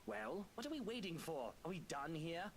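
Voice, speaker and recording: A woman's voice: fairly low pitch, big pitch swings, normal speed, a little hiss or hum, voice pushed down. A woman voicing an impatient, offhand young man in a game.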